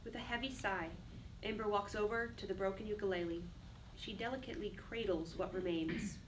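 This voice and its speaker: A woman's voice: mid-range voice, fairly even intonation, a little fast. Woman narrating a play, slightly distant. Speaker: narrator reading stage directions. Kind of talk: scripted dramatic narration. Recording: distant mic, faint background noise.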